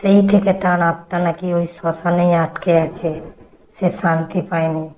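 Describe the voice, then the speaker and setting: A woman voicing a worried old grandmother in a horror cartoon, telling ghost tales slowly and gravely. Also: on the low side, little pitch movement, noisy background, lightly acted. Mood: grave, ominous.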